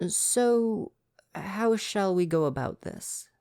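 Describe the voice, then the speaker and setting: A man's voice: high voice, animated, takes it slowly, vocal fry, a little mellow, clear, resonant tone, no room sound, full character voice. Guy doing a prim fretful royal butler, proper formal diction, super swoopy intonation, dry asmr roleplay sound. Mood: reluctant, fond.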